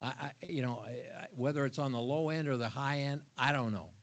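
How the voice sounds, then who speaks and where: A man's voice: mid-range voice, fairly quick. An older man, a grandfather and HOA board member, speaking frankly to homeowners at a town hall meeting, with stammering restarts.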